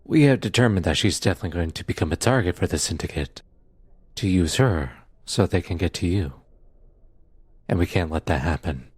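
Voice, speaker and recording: A man playing a firm, matter-of-fact police detective in an audio roleplay drama, with a measured, official manner and a husky voice. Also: low-pitched, lively intonation, a little mellow, no echo. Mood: firm, regretful.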